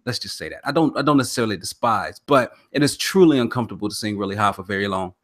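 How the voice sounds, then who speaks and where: A man in his thirties from Oklahoma hosting a casual podcast in a natural baritone, slangy and animated, laughing often. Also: fast talker. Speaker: podcast host.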